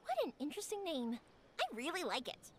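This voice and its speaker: An actress voicing a sunny, warm-hearted young woman who makes fireworks, friendly and gushing. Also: sits high, wildly swooping pitch, fairly quick, background noise. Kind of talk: game cutscene voice acting.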